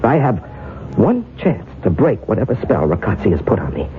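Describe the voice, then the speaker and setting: An actor playing a desperate, haunted man in a 1970s radio drama, urgent and halting in delivery. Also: on the low side, sing-song, phone-quality sound. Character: desperate, haunted man who fears for his life. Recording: noisy, band-limited vintage radio broadcast.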